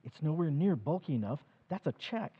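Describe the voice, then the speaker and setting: A man playing an anxious, fretful middle-aged husband in an old-time radio play, with a husky voice. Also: a little high, sing-song, a little fast, muffled recording. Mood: agitated.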